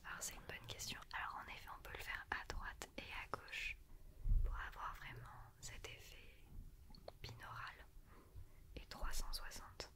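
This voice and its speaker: A woman in her twenties speaking in a breathy whisper at a close desk microphone, chatting and explaining as an ASMR guest. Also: a little slow. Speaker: guest, ASMR creator demonstrating her tools. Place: small indoor studio with sofa. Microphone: close desktop condenser microphone.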